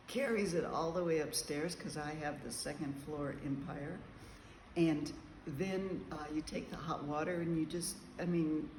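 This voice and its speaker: A man telling a casual travel diary to camera, meandering and chatty with frequent ums and pauses. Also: a little high, a little mellow, a little hiss or hum. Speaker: travel vlogger. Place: large room with high ceilings, indoors. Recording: echoey room, faint background ambience.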